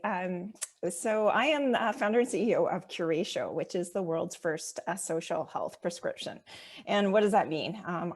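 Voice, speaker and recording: A woman's voice: on the low side, darkish tone. A woman, a startup founder on a panel, introducing herself fluently and in a polished way.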